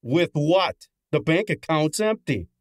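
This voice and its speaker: An actor playing a cheeky, exasperated detective's assistant in a radio drama, insistent and wisecracking. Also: male voice, a little high, very animated, slow, dry recording, clean studio sound. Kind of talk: old-time radio drama acting.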